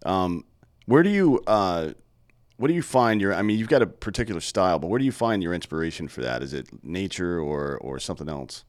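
Guy hosting a podcast, pretty deep voice, dry close sound, just chatting w a guest. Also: very animated, a little fast, no room sound. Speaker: podcast host. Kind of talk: casual conversational interview.

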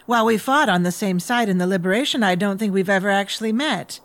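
An actress playing a poised, diplomatic vampire envoy in an audio drama, speaking formally and with measured words. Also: female voice, mid-range voice, no echo, lightly acted. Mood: polite, composed.

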